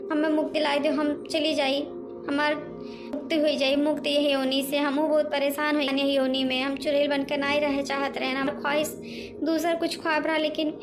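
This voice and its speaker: A woman with an Awadhi accent voicing a pleading, weary witch ghost in a homemade cartoon, repeating her pleas. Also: high-pitched. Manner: pleading, repetitive. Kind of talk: amateur Hindi cartoon dialogue.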